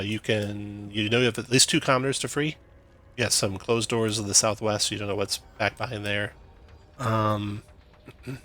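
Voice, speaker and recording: A man acting as game master, narrating a tabletop game in a relaxed, explaining way with fillers. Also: fairly low pitch, creaky.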